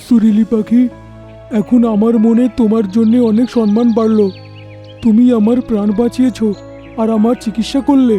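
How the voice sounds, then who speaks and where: High, bright earnest cartoon animal. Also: male voice, flat delivery, normal speed, faint music behind. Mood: grateful. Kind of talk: cartoon voice acting.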